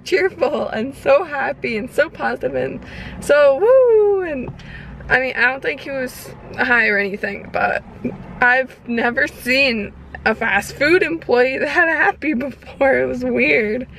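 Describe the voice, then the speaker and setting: A young woman talking casually and candidly in a personal daily vlog, rambling a little and hoarse from a long day of talking. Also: high voice, lively intonation, unhurried, fairly bright tone, slight background noise, poor audio. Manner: rambling, candid, self-deprecating. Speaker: vlogger.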